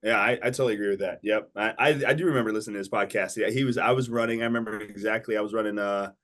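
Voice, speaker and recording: A man in his forties, a guest entrepreneur on a podcast, talking in a steady, self-assured way and often ending with tag questions. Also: lowish voice, racing. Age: 40s. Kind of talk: casual interview talk.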